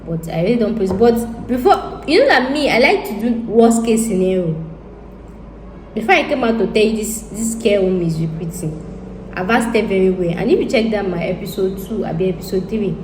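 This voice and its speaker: A woman's voice: medium pitch, expressive, normal speed, a little mellow. A Nigerian woman talking casually to her viewers, thinking aloud and repeating herself as she rambles. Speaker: YouTuber. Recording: echoey room with faint ambient noise.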